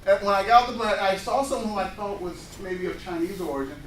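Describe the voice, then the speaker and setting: A man in his forties leads a workshop for a live audience, weaving personal anecdotes and acting out the dialogue with animation. His voice sits noticeably high. The recording catches him from across a reverberant room, with a faint hum of ambience behind. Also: fast talker. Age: 40s. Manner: animated storyteller who acts out dialogue. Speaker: workshop presenter. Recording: roomy room, voice picked up from a distance.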